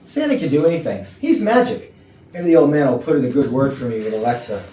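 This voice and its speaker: A melodramatic, lovelorn office guy in a stage comedy acted by a woman. The voice is low and animated, stammering and oblivious. It was recorded far from the mic in a rehearsal room. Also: quick pace, roomy sound. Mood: flustered, eager. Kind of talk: staged reading of comedy dialogue.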